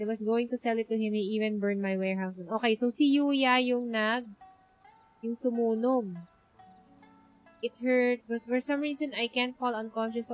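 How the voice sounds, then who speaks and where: Higher-pitched Filipino woman streaming a game. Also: rough recording. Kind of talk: casual live playthrough commentary, reading game text aloud. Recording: stream with game music behind.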